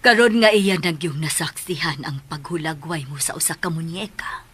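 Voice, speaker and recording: A woman playing a grave, reproachful older mother in a radio drama, with a breathy voice and a Filipino accent. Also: sits low, normal speed, a bit bright, full character voice. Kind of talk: Cebuano radio drama acting.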